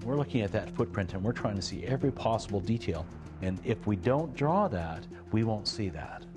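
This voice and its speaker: Male expert, low voice, explanatory interview speech, faint music behind. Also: expressive, fairly quick. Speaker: search and rescue tracking expert.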